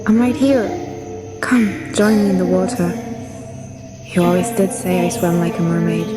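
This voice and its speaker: A woman's voice: lowish voice, normal speed, natural voice. A woman playing a ghostly drowned lover in a horror audio drama, soothing and coaxing as she lures someone to her. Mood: tender, luring. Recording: loud music over the voice.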